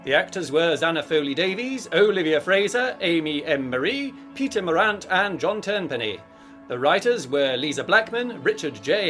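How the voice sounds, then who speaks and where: High-pitched, lively male announcer over music. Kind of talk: scripted radio credits announcement.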